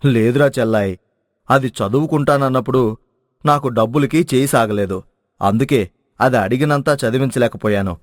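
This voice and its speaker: A man voicing an affectionate older village brother in an animated story, speaking in a familiar, earnest way. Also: medium pitch, moderate pace, dry recording, studio quality, voice pushed down, lightly acted. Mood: earnest, hopeful.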